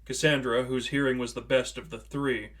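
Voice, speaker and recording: A man narrates prose fiction in a scripted audiobook manner. His voice sits at a middle pitch for a man, delivering the story as written narration. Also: little pitch movement, moderate pace, fairly bright tone.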